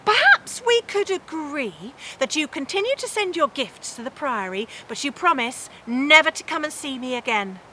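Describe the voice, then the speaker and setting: A woman's voice: sits high, big pitch swings, normal speed, few pauses, sharp tone, a little hiss or hum, heavily acted, low-quality recording. A woman storyteller playing a cunning medieval prioress who coaxes with polite mock sympathy. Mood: mock-sympathetic, calculating. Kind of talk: storybook character in oral storytelling.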